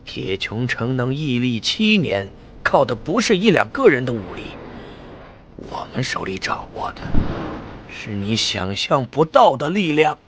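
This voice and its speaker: Guy doing an arrogant scheming official, motion comic villain, menacing, pitch moves around a lot. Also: very animated, measured pace, fairly bright tone, slight background noise. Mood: arrogant threatening. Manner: haughty, menacing. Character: arrogant, threatening male conspirator official. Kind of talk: dramatic Chinese motion-comic voice acting.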